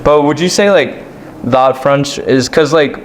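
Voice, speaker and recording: Man asking halting questions. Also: fairly high pitch, a little hiss or hum, poor audio. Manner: curious, halting questions full of "like". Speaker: podcast host. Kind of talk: casual interview chat.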